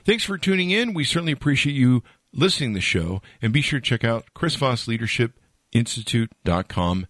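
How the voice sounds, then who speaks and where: A man's voice: medium pitch, animated, a little fast, a bit bright, dry recording, studio quality. A man hosting a podcast, talking casually with rambling asides and many fillers. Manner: rambling, chatty, many "you know" fillers. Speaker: podcast host. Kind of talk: casual interview chat with an ad read.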